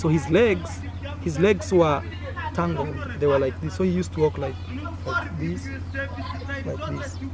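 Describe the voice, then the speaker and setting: A young man with a Kenyan accent, Swahili his first language, telling a childhood story to camera in a casual, animated way, acting out sounds and gestures. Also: a little high, measured pace, long pauses, over music, crowd noise. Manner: animated, acts out sounds and gestures with frequent fillers. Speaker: content creator. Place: outdoors in a village. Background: faint village noise.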